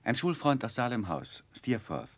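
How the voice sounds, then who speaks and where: An actor playing an earnest young gentleman in a 1960s radio play, speaking and narrating in a measured, polite way. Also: mid-range voice, sing-song, no echo. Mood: earnest, fond. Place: dry radio studio.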